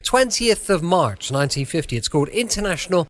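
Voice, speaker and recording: An English man hosts a podcast in a casual, chatty way. His voice is on the higher side and swings up and down a lot. He rambles jokily as he goes. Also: sing-song, fairly quick, a bit bright. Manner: rambling, jokey. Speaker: podcast host.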